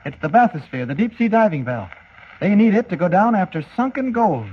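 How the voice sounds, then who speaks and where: A man's voice: sits high, sing-song, brisk, husky, exaggerated voice. An actor playing an earnest, mild-mannered young man, hesitant and questioning, in 1940s radio serial style. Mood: puzzled, disbelieving. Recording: muffled, hissy 1940 radio recording.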